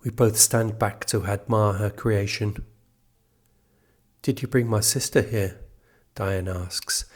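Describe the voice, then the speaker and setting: A man with a husky voice reading a scripted piece of literary fiction aloud. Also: lowish voice, steady tone, slow pace. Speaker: reader of a literary extract.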